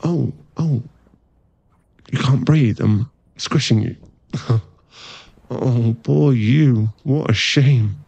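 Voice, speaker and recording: A man's voice: mid-range voice, drawn-out words, creaky, pauses often, a little mellow, no echo, full character voice. A man playing a playful, affectionate, laddish werewolf boyfriend in a casual, teasing ASMR roleplay. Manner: casual, laddish, teasing, drops into murmured asides.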